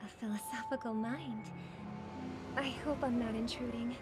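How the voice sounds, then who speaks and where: A woman acts out a gracious, compassionate idealist from a video game. Her voice is fairly high, soft-spoken and composed. Music is clearly heard behind her polite lines. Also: steady tone, moderate pace, lightly acted. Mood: gracious, curious. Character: gentle, gracious, compassionate female celebrity, idealistic. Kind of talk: English video game voice acting. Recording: game dialogue over loud music.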